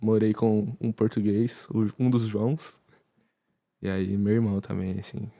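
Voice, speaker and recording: A man's voice: on the low side, unhurried, gravelly. A man from Brazil, a podcast guest, chatting casually and telling stories with frequent laughter. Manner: laughing, animated storytelling. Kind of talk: casual chat.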